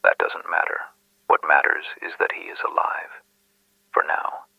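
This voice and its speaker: A man playing a mysterious, menacing anonymous caller in a noir radio drama, speaking in a breathy, hushed and cryptic whisper. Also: very slow, no echo, dull audio.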